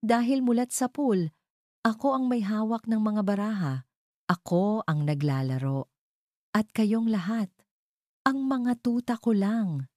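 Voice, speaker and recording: An arrogant schemer of a villain, played by a woman in an audio drama. He taunts with heavy emphasis, the pitch pushed well up, and the sound is dry and close. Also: unhurried, pauses often, mellow, no echo, lightly acted. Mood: contemptuous. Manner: taunting, emphatic, spelling words out. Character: arrogant, furious male villain, scheming and gloating.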